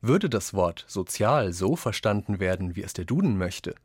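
A man reading quoted texts for a scripted radio essay, with deliberate, declamatory phrasing. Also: lowish voice, very animated, darkish tone, breathy edge, no echo, clean studio sound. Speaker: reader of quotations in a radio essay.

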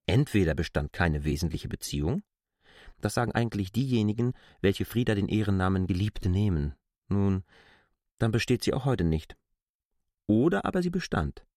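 An actor playing a stubborn, self-assured outsider in a radio drama, reasoning articulately and insistently. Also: male voice, fairly low pitch, sing-song, moderate pace, darkish tone, no echo, clean studio sound. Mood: patronising, insistent. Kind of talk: literary radio drama acting.

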